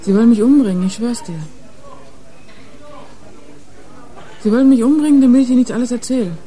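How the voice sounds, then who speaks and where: Woman acting a streetwise teen club girl in a crime radio play, soft dark tone, slangy and blunt. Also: fairly high pitch, racing, a faint crowd, close to an everyday voice, rough recording. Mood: frightened, urgent. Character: streetwise nineteen-year-old woman, drug-using club girl informant.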